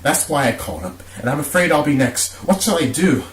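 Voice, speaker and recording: Frightened businessman, voiced by a man in a radio play: anxious, lively pitch, echoey room. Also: brisk, distant mic. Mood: fearful. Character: frightened businessman fearing for his life. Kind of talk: amateur radio drama acting. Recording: roomy echo, faint hiss.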